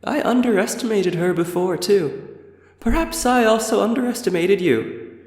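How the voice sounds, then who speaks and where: A man voicing a cunning old undead elf man, who speaks politely and formally with a cool, threatening calm. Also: high voice, moderate pace, pitched above the performer's own voice. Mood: coolly menacing. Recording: reverberant roomy echo.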